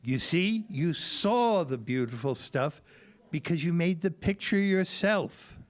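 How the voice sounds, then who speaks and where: A man with a fairly high voice presents a scripted show for a family audience. He takes his time, speaking slowly and deliberately as he explains each point. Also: lively intonation. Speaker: presenter at an audio theater show. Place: live event at a public library.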